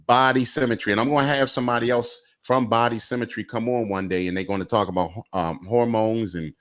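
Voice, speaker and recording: A man's voice: medium pitch, fairly even intonation, a little fast, fairly bright tone. A man in his forties hosting a casual talk show, laid-back and rambling with plenty of fillers. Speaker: host. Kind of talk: casual podcast chat. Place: Atlanta.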